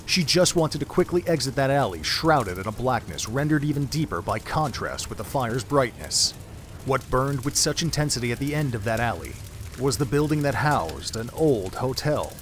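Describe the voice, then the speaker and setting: A man's voice: mid-range voice, expressive, moderate pace, slight background noise. A man giving solemn, literary scripted narration for an audio drama.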